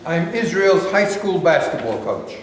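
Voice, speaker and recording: A man's voice: fairly high pitch, big pitch swings, unhurried, slight background noise. A man reading an earnest basketball coach. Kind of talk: staged play reading. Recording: echoey hall with long reverb.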